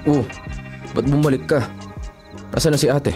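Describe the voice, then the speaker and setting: An actor playing a stern, disapproving brother in a Filipino radio drama, impatient and demanding. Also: male voice, fairly high pitch, fairly even intonation, normal speed, loud music behind the voice, close to an everyday voice.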